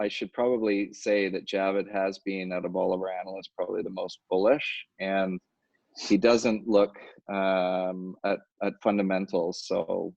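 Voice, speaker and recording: A man giving a market update on a conference call, halting and full of fillers. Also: low-pitched, vocal fry, a little mellow, dry recording. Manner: halting, many fillers and restarts. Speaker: financial advisor. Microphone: phone or conference line. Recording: dull lo-fi conference call audio.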